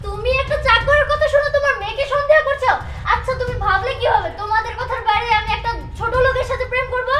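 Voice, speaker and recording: A woman's voice: very high-pitched, quick pace. A young woman playing a coy, defiant, lovestruck daughter in a melodramatic short film, with a Bangladeshi accent. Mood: indignant. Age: young adult. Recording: loud music behind dialogue.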